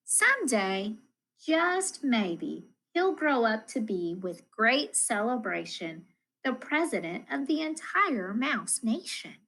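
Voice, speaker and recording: A woman reading a children's storybook aloud as a school teacher, in an expressive, deliberate manner addressed to young listeners. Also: a little high, low-quality recording. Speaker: elementary school math coach reading to pupils.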